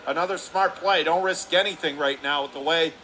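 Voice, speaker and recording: A man in a broadcast booth calling a hockey game play by play, with rapid, clipped calls that climb higher on shots and saves. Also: sharp tone, slight background noise. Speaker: radio play-by-play announcer. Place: broadcast booth above an ice rink.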